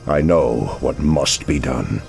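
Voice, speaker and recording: An actor playing an anguished, noble archdruid in a fantasy game, gravelly, solemn and commanding, with theatrical emphasis. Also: male voice, a bass voice, slow pace, darkish tone, faint music behind, exaggerated voice. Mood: grave resolve. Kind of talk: dramatic game cutscene acting.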